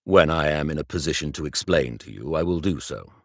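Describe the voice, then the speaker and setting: Brief: stiff formal young gentleman voiced by a man, deep, decisive, dry close sound. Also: lively intonation, brisk, dry recording, exaggerated voice. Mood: reserved. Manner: clipped, formal, reserved.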